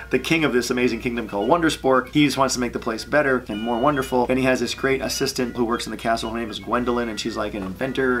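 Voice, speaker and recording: A man's voice: medium pitch, a bit bright. Chatty, enthusiastic man telling stories casually. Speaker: animation creator and video host. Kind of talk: casual storytelling vlog.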